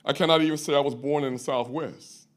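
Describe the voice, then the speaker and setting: A man's voice: fairly high pitch, steady tone, quick pace. A man from Louisiana delivering an emphatic, rhetorical prepared speech as a commenter at a public hearing. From Louisiana, US South. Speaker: public commenter, chapter president of a fraternity. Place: public hearing room in a courthouse.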